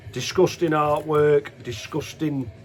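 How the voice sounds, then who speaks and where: Slow-paced man, casual show-and-tell. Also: on the high side, fairly even intonation. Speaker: host of a music collection video.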